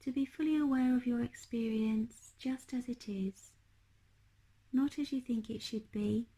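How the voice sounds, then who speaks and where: A woman leading a guided meditation as a teacher, speaking in a gentle, unhurried, hushed manner. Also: a little high, breathy edge, poor audio.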